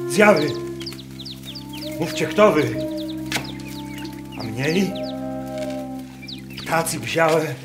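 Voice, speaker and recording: Slow-spoken, awestruck Masurian woodcutter. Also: male voice, a little high. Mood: awed, calling out. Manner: rustic, halting, exclamatory dialect speech. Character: simple Masurian woodcutter, awestruck drunkard visionary. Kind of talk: radio play acting. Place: wooden barn, staged with musicians. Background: music.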